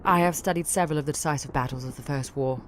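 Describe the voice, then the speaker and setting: Man voicing an uneasy, high-pitched female military adjutant. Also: rapid-fire, slight background noise, natural voice. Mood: formal, guarded. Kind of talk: dramatic audiobook acting.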